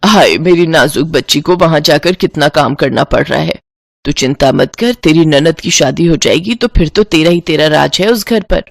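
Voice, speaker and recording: A woman puts on a middle-aged mother from a family tale. Her voice sits lower and darker, fretting and scolding with dramatic, drawn-out laments. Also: vocal fry, no echo, clean studio sound. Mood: fussing.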